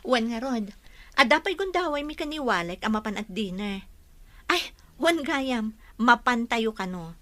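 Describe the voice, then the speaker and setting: A woman's voice: a little high, expressive, unhurried, a bit bright, dry recording. A woman playing a sensitive young woman in a Filipino audio drama. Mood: cheerful chatting. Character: sensitive young woman, loyal friend, easily hurt in love.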